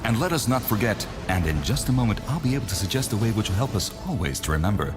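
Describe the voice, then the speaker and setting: A man's voice: fairly low pitch, sing-song, fast. A man acting a grandiose, portentous documentary narrator in a radio comedy. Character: pompous male documentary narrator with sales patter. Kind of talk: parody documentary voice-over in radio drama. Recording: music under the voice.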